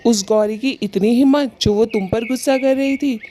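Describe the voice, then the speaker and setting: A woman plays a protective husband bird in an animated cartoon. The voice is soft-edged and mellow, set fairly high, with an earnest manner. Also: normal speed, close to an everyday voice. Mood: indignant.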